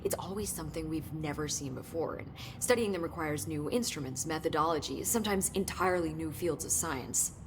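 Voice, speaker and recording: A woman's voice: deep voice, brisk, few pauses, background noise, natural voice. A woman playing an eager, curious young American research scientist in a video game, chatty and explanatory. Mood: enthusiastic explaining. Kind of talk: video game dialogue acting.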